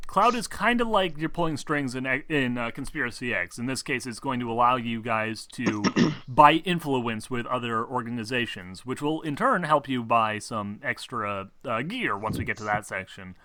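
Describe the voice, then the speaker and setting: A man's voice: medium pitch, normal speed, bright, forceful tone, no echo. A man acting as game master, explaining rules casually and teasing his players with sarcasm.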